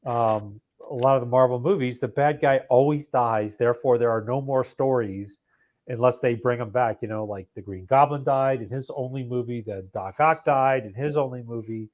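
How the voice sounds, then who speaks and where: A man joining a casual online podcast chat, joking and talking in quick bursts. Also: medium pitch, lo-fi sound. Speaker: podcast guest.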